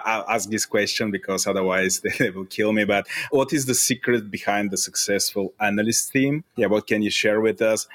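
A man's voice: fairly low pitch, a bit bright. A man hosting a podcast, asking friendly, rambling questions with some hesitations. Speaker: podcast host. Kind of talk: interview questions.

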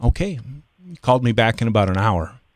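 Male podcast guest, fifties. Lower register, dry sound, thoughtful and halting personal storytelling. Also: sing-song, no echo. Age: 50s.

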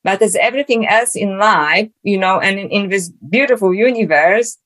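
A woman's voice: medium pitch, normal speed, fairly bright tone. A woman from Poland with a Polish accent, speaking as a guest author in a podcast interview, reflective and searching, with many fillers and restarts.

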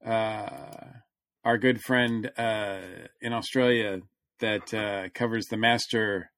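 A man's voice: fairly low pitch, steady tone, slow pace, a bit bright, no echo, clean studio sound. A man co-hosting a science fiction podcast, talking casually and often searching for his words mid-thought. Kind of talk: casual, fannish panel chat.

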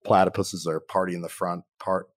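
Upbeat low-voiced podcast host man. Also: little pitch movement, a little fast, dry recording, studio quality. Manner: upbeat, playful, repeats words. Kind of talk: podcast intro and interview.

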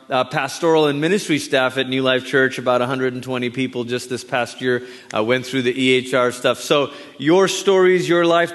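A man who grew up in Malaysia speaks as a guest preacher in a church sanctuary. His talk is conversational and warm, full of friendly asides to the congregation. The sound rings with long hall reverb. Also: medium pitch. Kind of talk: sermon introduction.

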